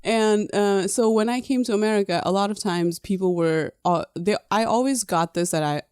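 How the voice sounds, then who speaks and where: Woman podcast host from Nepal, native Nepali speaker, conversational, clean smooth tone, very dry recording. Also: mid-range voice, normal speed, a little mellow, dry recording. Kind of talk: casual conversational podcast talk.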